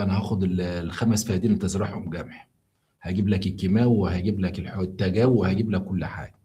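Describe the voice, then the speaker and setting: A man's voice: deep voice, warm tone. Tired Egyptian man telling a story live. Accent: Egyptian Arabic. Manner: chatty, explains to viewers, halting restarts. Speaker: live stream storyteller host. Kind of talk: casual live stream storytelling.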